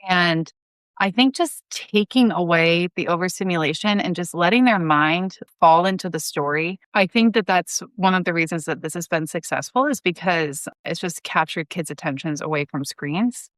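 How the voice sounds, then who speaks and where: A woman's voice: lowish voice, moderate pace, fairly bright tone, dry recording. A woman in her thirties speaking enthusiastically and emphatically as an interview guest, in a conversational way. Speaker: podcast guest, audio drama writer.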